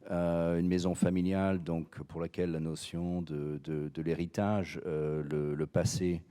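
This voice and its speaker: A man's voice: low-pitched, level tone, measured pace, dark tone, smooth. A man from France, head of a cognac firm, speaking hesitantly on a conference panel with many fillers and repeated words. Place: conference hall.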